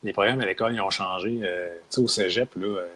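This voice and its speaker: A man's voice: deep voice, steady tone, fast talker, fairly bright tone. A man with a Québécois French accent, co-hosting a casual discussion, hesitant and searching for words.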